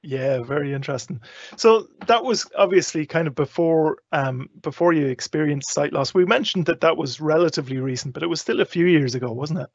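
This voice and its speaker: A man's voice: on the high side, fairly quick, no echo. An Irish man hosting a live online show, speaking as presenter to guests and viewers. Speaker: show host. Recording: dull, close lo-fi online audio.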